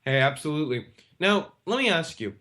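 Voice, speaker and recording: A man's voice: a little high, very animated, brisk, sharp tone. A man hosting a radio talk show, asking loose, rambling questions in a casual conversational way. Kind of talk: casual interview chat.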